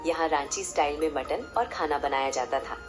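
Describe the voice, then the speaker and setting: A woman's voice: low-pitched, moderate pace. A woman narrating a story in a scripted storybook style. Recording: music behind the voice. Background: loud background music.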